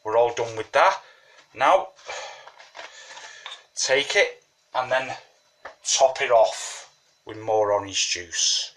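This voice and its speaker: A man with a slight cold walks through his recipe at a slow, unhurried pace. His voice has a bright, forward edge, and he keeps up a loose, chatty patter full of asides. He sounds like he is talking at his kitchen table while he works. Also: medium pitch, animated. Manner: chatty, rambling, says "you know what I mean". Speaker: home brewing hobbyist. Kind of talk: casual how-to tutorial.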